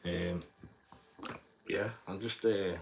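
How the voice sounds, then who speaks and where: A young man with a very low voice and a Scouse accent talks loosely to his live-stream viewers. He rambles, stumbles over repeated words and drops swear words without thinking. A faint hiss of background noise sits under the recording. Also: steady tone, slow, vocal fry. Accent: Liverpool (Scouse). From Liverpool, England. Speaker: livestreamer.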